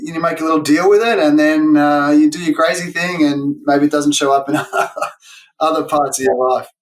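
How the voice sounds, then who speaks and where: A man with an Australian accent, a guest educator chatting in a relaxed, easygoing way. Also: on the high side, moderate pace, low-quality recording. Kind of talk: casual interview chat.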